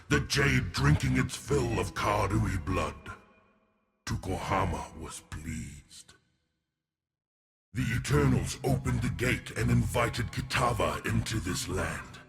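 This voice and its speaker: Heavily gravelled proud warlord. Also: male voice, fairly low pitch, animated, slow, heavily acted. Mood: solemn, haunted. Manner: solemn, portentous. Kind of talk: solemn first-person monologue for a game. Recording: prominent music under the voice. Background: music.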